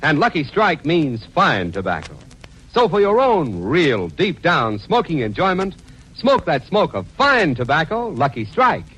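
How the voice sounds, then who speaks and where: Old radio ad guy, highish voice, lively swinging slogans, bit of noise. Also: unhurried. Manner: emphatic, rhythmic slogans. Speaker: commercial announcer. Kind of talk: 1940s radio advertising pitch. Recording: noisy 1940s radio recording.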